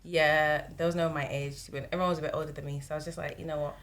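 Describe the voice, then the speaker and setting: A young woman co-hosting a podcast, chatting casually and telling an animated story with acted-out quotes. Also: low voice, racing, background noise. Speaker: podcast co-host.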